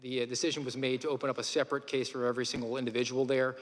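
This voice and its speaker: A man testifying as a witness at a hearing, answering in a measured, matter-of-fact way. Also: mid-range voice, level tone. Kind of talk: formal testimony. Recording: echoey hearing room, clear hiss.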